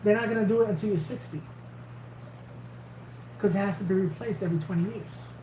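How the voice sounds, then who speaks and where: A woman's voice: mid-range voice, brisk, mellow. Blunt woman in her fifties, echoey room. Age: 50s. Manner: blunt, emphatic, repeats herself. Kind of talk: casual livestream chat. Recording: echoey room with faint hum.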